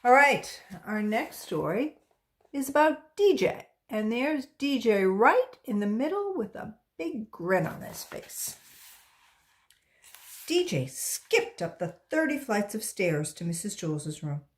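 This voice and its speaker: A woman reading a storybook aloud to children as a volunteer, in an expressive narrating style. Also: on the high side, slow.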